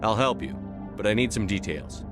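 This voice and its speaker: An actor plays a resolute male military commander in a video game. His voice is on the low side, and he talks in a direct, businesslike way with light music behind him. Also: expressive, moderate pace, close to an everyday voice. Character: firm, resolute human military commander, adult man.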